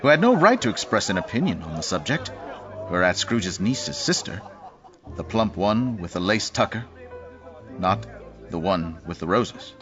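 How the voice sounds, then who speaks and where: A man's voice: low-pitched, very animated, moderate pace, crowd noise. A man narrating a dramatised story in a wry, theatrical manner. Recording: dull audio with music behind.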